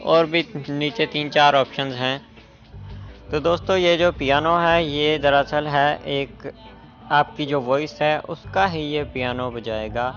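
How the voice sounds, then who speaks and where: A man's voice: medium pitch, a bit bright. A man giving a casual step-by-step app tutorial as the host of a tech video. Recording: muffled lo-fi voice with background music. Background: music.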